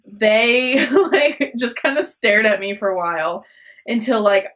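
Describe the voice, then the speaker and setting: A woman's voice: on the high side, expressive, measured pace, fairly bright tone. A young woman hosting her own vlog, telling a funny story in a chatty way with lots of fillers and laughs. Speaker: vlog host. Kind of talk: casual storytelling.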